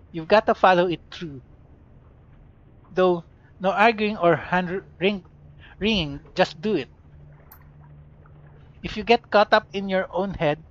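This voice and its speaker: A man reading the lines of a blunt, reckless woman mercenary in a dry, matter-of-fact way. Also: sits high. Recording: dull lo-fi recording.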